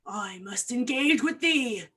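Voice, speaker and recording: A woman's voice: on the high side, lively intonation, slow, sharp tone, slightly rough, exaggerated voice. A woman acting a frantic, vengeful doctor hunting a murderer in a Shakespearean staged reading, with grand, declamatory delivery. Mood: urgent. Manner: declamatory, archaic diction, grandly dramatic.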